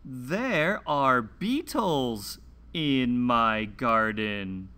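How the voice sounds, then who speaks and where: A man presents a read-along lesson for young children. His delivery is very slow and deliberate, with sing-song emphasis and lively swings in pitch. The tone is bright and forward, and each word is carefully enunciated. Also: clear, resonant tone. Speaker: teacher hosting a children's read-along show.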